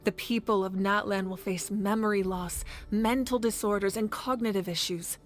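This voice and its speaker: A woman's voice: mid-range voice, fairly even intonation, close to an everyday voice. A woman playing a resolute, compassionate young leader in a fantasy game, speaking firmly and earnestly. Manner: firm, earnest, measured. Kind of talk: scripted fantasy game acting.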